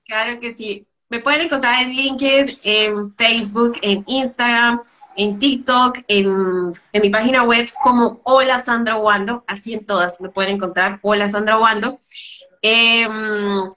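A woman guest on a podcast interview who talks in a lively, casual way and laughs easily. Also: on the high side, a little slow. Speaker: guest, business consultant. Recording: dull lo-fi sound.

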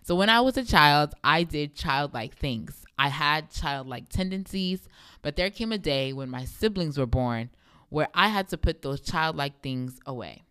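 A young woman with a deep voice hosting a devotional podcast, speaking emphatically and repeating phrases for effect. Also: lively intonation, measured pace, a bit bright, smooth, no echo, clean studio sound. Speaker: podcast host.

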